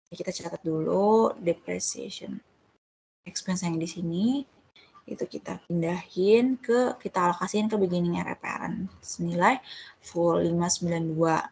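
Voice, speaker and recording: A woman's voice: fairly low pitch, a little hiss or hum, low-quality recording. A woman giving an informal step-by-step tutorial as a tutor, conversational and often correcting herself. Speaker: accounting tutor.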